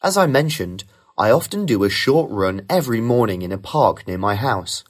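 A man reading rehearsed model answers for a speaking test in a smooth, even way. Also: lowish voice, normal speed. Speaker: sample test candidate.

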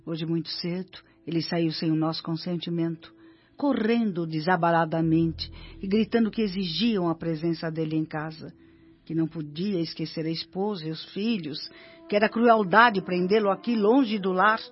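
A woman's voice: lowish voice, moderate pace, husky. A woman playing a dutiful, composed nurse in a radio drama, reporting respectfully with a Brazilian accent. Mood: earnest, concerned. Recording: dull radio-drama sound, music behind.

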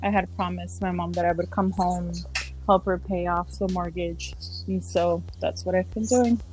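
Relaxed young woman, casual interview. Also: fairly low pitch, moderate pace, poor audio. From San Diego, California. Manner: relaxed, with frequent fillers and soft laughs. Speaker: podcast guest, social worker.

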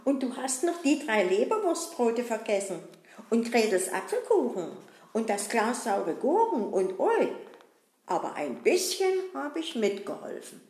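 A woman's voice: a little high, sing-song, a little slow, darkish tone. A woman voicing a greedy, good-natured puppet boy who chats away fussily and makes excuses. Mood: chatty. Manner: chatty, fussy, self-excusing. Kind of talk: puppet-show acting. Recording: roomy echo.

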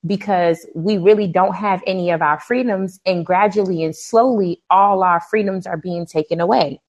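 A woman's voice: lowish voice, moderate pace, darkish tone. A woman from Brooklyn co-hosting a podcast, speaking in an outspoken, emphatic way. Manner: outspoken, emphatic, preachy asides. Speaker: podcast co-host. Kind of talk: casual chat.

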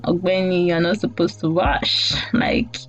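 A woman's voice: lowish voice, level tone, slow. A woman in her late twenties with a Nigerian accent, hosting a podcast as a rambling, self-mocking monologue broken up by frequent laughs. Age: late 20s. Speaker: podcast host. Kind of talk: casual storytelling monologue. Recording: dull lo-fi audio with faint music.

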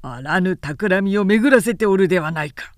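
Irascible old male clan elder, performed by a female reader, loud and pompous, period storybook drama. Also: on the low side, animated, moderate pace, no room sound, studio quality, lightly acted. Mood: blustering, accusing. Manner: forceful, pompous, archaic samurai speech. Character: irascible, blustering old samurai chief retainer.

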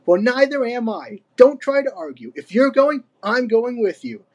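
Bold monkey girl, done by a story reader, husky, firm, stands her ground. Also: medium pitch, lively intonation, normal speed, darkish tone, pitched above the performer's own voice, natural voice. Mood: defiant. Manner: challenging, firm. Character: bold, defiant young female monkey. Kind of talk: storybook character reading.

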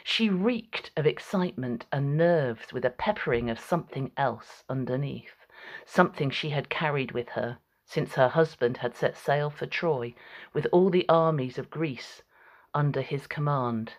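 A woman with a low voice narrates a dramatic story from a script. She takes it very slowly, giving the prose room.

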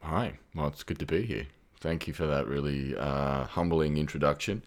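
A middle-aged man with an Australian accent and an extremely deep, low voice. He talks in a thoughtful, hesitant way, pausing on ums and restarting his sentences as he works through his ideas in a relaxed podcast conversation. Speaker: podcast guest. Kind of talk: reflective conversational talk.

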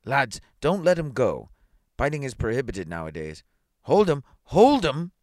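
A man's voice: on the low side, lively intonation, normal speed, dry recording, natural voice. A male reader plays a tipsy goldsmith in a classic tale. He is whining and self-righteous, pleading his grievance with indignant deference to authority, recorded dry and close. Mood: furious. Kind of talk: dramatised short story reading.

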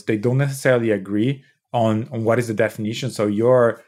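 Brazilian-accented man explaining, lowish voice. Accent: Brazilian Portuguese. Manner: explanatory, many restarts and "you know". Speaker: startup founder and CEO. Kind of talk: podcast interview.

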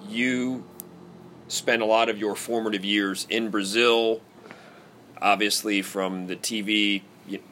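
A male interviewer asking long, halting questions that he rewords as he goes. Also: lowish voice, steady tone, a bit bright, slight background noise.

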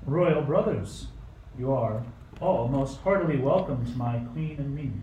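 A man's voice: mid-range voice, animated, unhurried, warm tone, close to an everyday voice. An actor playing a sick, suspicious old tyrant king in a radio play, snappish and imperious. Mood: regal. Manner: imperious, snappish, paranoid accusations. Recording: roomy, distant mic, faint noise.

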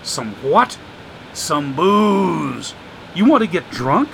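A man voicing an irritable, short-tempered mansion owner who talks in a gruff, exasperated way. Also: on the high side, animated, normal speed, pushed and bright, a little hiss or hum, low-quality recording.

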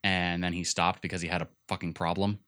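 Low, bright-toned young man chatting casually. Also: brisk, smooth, no echo, clean studio sound. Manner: relaxed, retells stories with quoted voices. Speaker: podcast co-host. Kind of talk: casual chat.

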